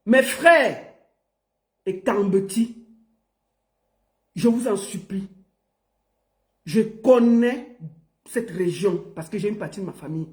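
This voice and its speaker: Man, political commentator, pleading, repetitive, high lively voice, roomy echo. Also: slow, pauses often. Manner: pleading, repetitive, rising to shouts. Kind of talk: impassioned political monologue.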